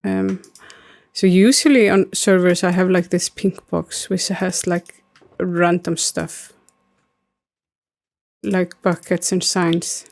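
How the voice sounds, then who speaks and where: Gravelly Icelandic woman streaming a game. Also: on the low side, steady tone, slow, a little mellow. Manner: rambling, many fillers, answering chat. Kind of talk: casual gaming stream chat.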